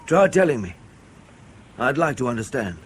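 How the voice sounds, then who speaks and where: Male actor as intelligence officer, radio drama: dogged, controlled, pointed questioner, mid register with lively intonation. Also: medium pitch, normal speed, a little hiss or hum, lightly acted. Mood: probing, grave.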